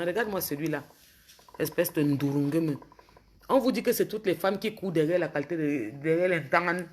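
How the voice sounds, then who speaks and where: A woman with a cold, a bit hoarse, talking casually to viewers on a phone livestream and scolding commenters with indignation. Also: fairly low pitch, a little mellow. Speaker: social media livestreamer.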